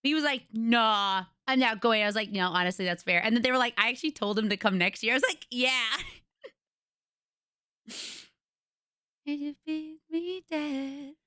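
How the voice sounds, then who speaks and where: A young woman streamer with a raspy voice, chatting casually and rambling to her viewers from home. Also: on the high side, moderate pace, pauses often, bright, forceful tone, dry recording, clean studio sound. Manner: chatty, rambling, retells conversations.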